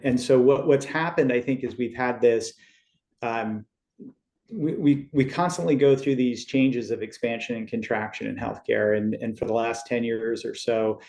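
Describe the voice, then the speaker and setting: A middle-aged man from Western Massachusetts, a podcast guest who explains at a measured pace with frequent restarts. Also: medium pitch, a little mellow, roomy sound. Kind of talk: podcast interview.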